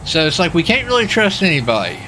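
A young man with a slightly high, bright voice chats casually over a game stream. He rambles and circles back on his own words. There is faint noise behind him. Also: big pitch swings, quick pace, low-quality recording. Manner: rambling, repeats himself. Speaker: livestreamer and role-player. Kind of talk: casual chat while gaming.

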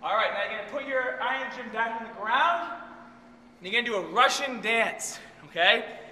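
Guy fitness coach counting reps while working out, bright strained voice, roomy echo. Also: very high-pitched, moderate pace, slight background noise. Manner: counts reps aloud while doing the exercises. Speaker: fitness trainer. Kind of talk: workout instruction. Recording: echoey room with long reverb.

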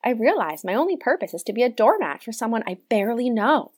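A woman's voice: fairly high pitch, very animated, quick pace, breathy edge. A woman playing a meekly submissive, mock-cheerful small-town wife in a comic radio play.

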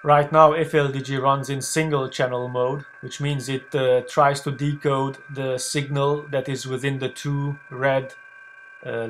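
A man talking through an unscripted software screencast tutorial in a hesitant, halting way, as a video blogger would. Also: medium pitch. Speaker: ham radio video blogger demonstrating software. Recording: clear background noise with hum.

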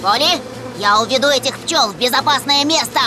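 A woman's voice: sits high, brisk, over music, lightly acted. A woman voicing a brave, earnest young rescue helicopter robot in a children's cartoon dub, urgent and encouraging.

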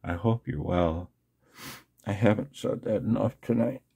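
Older male vlogger, very low and husky, halting confessional delivery, casual and unscripted. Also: dark tone. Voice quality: gravelly, husky. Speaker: amateur radio video blogger. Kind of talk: personal screen-share vlog, casual monologue.

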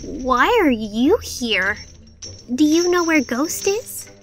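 A woman's voice: sits high, expressive, slow, a bit bright, a little hiss or hum, full character voice. A woman voicing a vain, theatrical young female unicorn magician in a cartoon dub, haughty and melodramatic. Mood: earnest. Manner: haughty, melodramatic, speaks of self in third person. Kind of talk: cartoon comic dub acting.

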